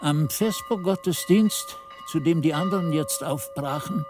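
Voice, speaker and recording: An actor playing a reflective monk who narrates memories of his youth in a radio drama, measured and contemplative. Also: on the high side, music in the background, lightly acted.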